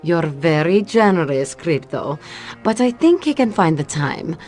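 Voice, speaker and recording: A woman's voice: sits low, expressive, measured pace, a little mellow, soft background music. Cool Russian female secret agent. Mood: reassuring, firm. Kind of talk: video game cutscene acting.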